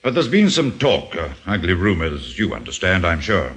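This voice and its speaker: A courteous police inspector in an old radio drama. His lowish voice is bright and lively, politely probing with small hesitations. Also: normal speed.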